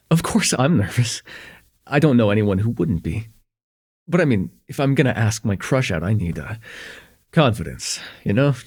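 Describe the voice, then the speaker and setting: Man acting a smitten, husky young guy, halting and nervous. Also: medium pitch, darkish tone, exaggerated voice. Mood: nervous, reassuring. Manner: halting, stammering, nervous, conversational. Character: shy, smitten young man confessing a crush, teasing, tender. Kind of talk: slice-of-life ASMR roleplay.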